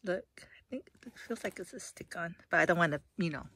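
A woman vlogging casually at home, with a hoarse voice, rambling through an unboxing with lots of fillers and restarts. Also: on the low side, expressive, a little fast, a bit bright, dry recording.

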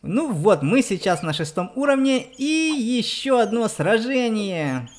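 A woman's voice: mid-range voice, big pitch swings, unhurried, a little mellow, a little hiss or hum. A woman giving explanatory game commentary for children, speaking directly to her young viewers. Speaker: let's play narrator on a children's channel.

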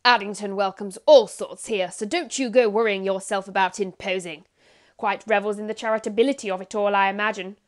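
A woman playing a breezy, chummy gentleman cricketer in a 1930s radio drama. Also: mid-range voice, steady tone, brisk, lightly acted.

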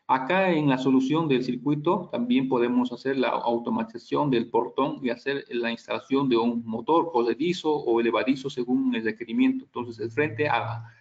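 A man giving a technical slide presentation as a product trainer, halting and explanatory, checking for agreement with tag questions. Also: medium pitch, darkish tone, muffled recording.

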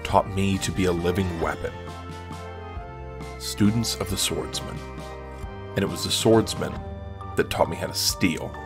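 A low-voiced, world-weary American hero, voiced by a man narrates his caper in slow, clipped lines. He is dry and sardonic, noir-like, over clear music. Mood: brooding. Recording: music behind the voice.

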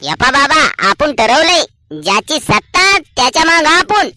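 A cocky young cartoon villager played by a man, high-pitched and bright. He argues back cheekily in a rural Maharashtrian accent, full of ambition. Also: big pitch swings, a little slow, no room sound, exaggerated voice. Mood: cocky, argumentative. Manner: argumentative, cocky. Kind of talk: cartoon comedy voice acting.

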